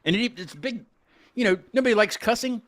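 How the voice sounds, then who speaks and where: Lively rambling male host. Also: extremely high pitch, brisk. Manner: rambling, stammering restarts, laughs often. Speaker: podcast host. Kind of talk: casual group chat with band guests.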